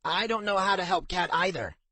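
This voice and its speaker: A man's voice: high-pitched, brisk, bright, forceful tone, dry recording, pitched above the performer's own voice. A man voicing an anxious, self-doubting young pig farmer in audiobook dialogue, earnest and unsure. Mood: scared.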